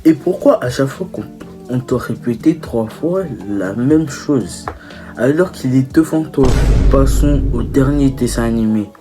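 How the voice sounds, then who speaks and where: A young man giving casual, teasing video commentary, halting and correcting himself as he goes. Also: mid-range voice, lively intonation, loud music behind the voice. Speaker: video creator.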